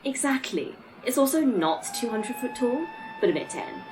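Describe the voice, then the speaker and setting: An actress playing a polite, pedantic A.I. that speaks formally and precisely in a sci-fi audio drama. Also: female voice, on the high side, big pitch swings, normal speed, music in the background. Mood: chirpy, pedantic. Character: polite, pedantic female A.I. running a facility. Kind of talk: audio fiction acting.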